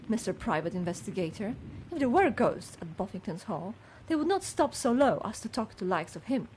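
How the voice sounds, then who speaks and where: A woman's voice: on the high side, slight background noise, low-quality recording. A woman playing a gossipy, judgmental older housekeeper in a video game, with a fussy, haughty manner. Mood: scornful, gossipy. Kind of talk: scripted game character dialogue.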